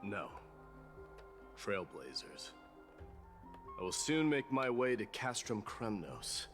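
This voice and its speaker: An actor playing a proud, solemn warrior demigod in a video game, speaking formally and with measured dignity over music. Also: male voice, fairly low pitch, very animated, fairly bright tone, heavily acted. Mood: solemn, earnest. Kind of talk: scripted video game dialogue.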